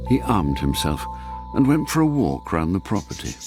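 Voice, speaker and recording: A composed storyteller for a radio spy thriller. The voice is very low and measured, delivering literary narration over clear music. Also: male voice, big pitch swings. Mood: measured, dry. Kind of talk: scripted dramatic narration.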